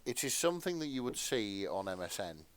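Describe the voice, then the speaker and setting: Male co-host, fairly low pitch, informal, nerdy and keen conversational delivery. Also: sing-song, a little fast, clean tone. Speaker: podcast co-host. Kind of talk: casual chat.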